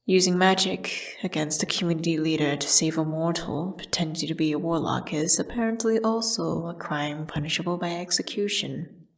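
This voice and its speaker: A woman playing a condemned witch who solemnly recounts her tragic story in a hushed, breathy, measured ASMR audio drama style. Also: deep voice. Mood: grave, anguished.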